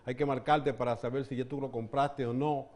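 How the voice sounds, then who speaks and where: Man, medium pitch, talk show host, direct questions. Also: fairly even intonation, normal speed, darkish tone. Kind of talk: interview hosting. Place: television studio set.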